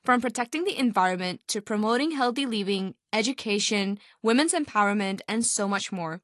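A woman's voice: mid-range voice, expressive, brisk, a bit bright, no room sound, clean studio sound. A woman hosting a community radio show, giving upbeat scripted introductions and interviewing a guest. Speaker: host.

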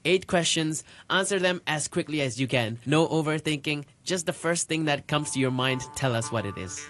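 A man's voice: on the high side, expressive, normal speed, fairly bright tone, soft background music. A man from Hong Kong co-hosting a podcast, reading out quiz prompts and asking curious follow-up questions. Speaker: podcast co-host. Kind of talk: casual podcast interviewing.

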